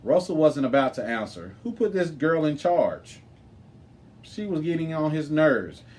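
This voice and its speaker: A man's voice: on the high side, sing-song, unhurried, darkish tone. A man reading a children's chapter book aloud as scripted narration. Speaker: storybook reader.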